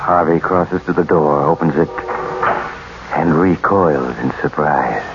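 A male narrator with a very deep voice, calmly setting the scene in an old radio drama. His measured delivery comes through a muffled recording with loud hiss. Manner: calm, measured storytelling.

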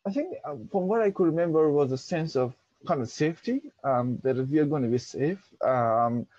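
A man's voice: fairly high pitch, sing-song, moderate pace, darkish tone, breathy edge, no room sound, highs cut off. A young man from Pakistan whose first language is Dari, telling his story as an interview guest, hesitant with many fillers. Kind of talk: reflective personal storytelling.

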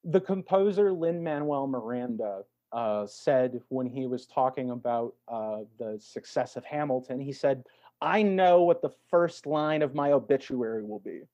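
A man speaking as an interview guest, an actor talking in a thoughtful, reflective way with measured phrasing and emphatic swells. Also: medium pitch, animated, dark tone, dull audio. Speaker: podcast guest, stage and voice actor.

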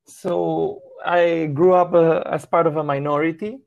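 A man's voice: on the high side, fairly even intonation, a little slow, vocal fry, darkish tone, highs cut off. A young man from Chile with a Chilean Spanish accent, a Spanish native speaker, talking as a musician guest in a casual interview, hesitant and full of restarts. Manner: hesitant, with many restarts and fillers.

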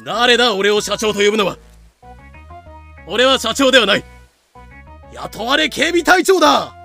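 A man's voice: extremely high pitch, lively intonation, unhurried, creaky, pushed and bright, exaggerated voice. An actor playing a pompous, suspicious male fort guard captain who blusters and domineers in an audio drama. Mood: haughty, suspicious. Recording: music bed behind voice.

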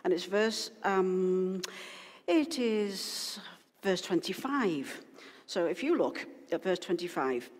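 A woman's voice: fairly low pitch, lively intonation, slow. A woman pastor giving an informal, rambling sermon to her congregation, full of asides and restarts. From Wales. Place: church hall. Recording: long hall reverb.

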